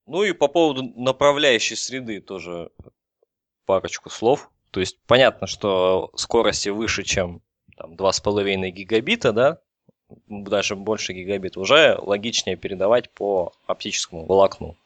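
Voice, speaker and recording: A man's voice: on the low side, normal speed, fairly bright tone, no room sound. A man explaining technical material in a casual podcast discussion, hesitant and thinking aloud as he goes. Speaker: technical expert explaining telecom topics.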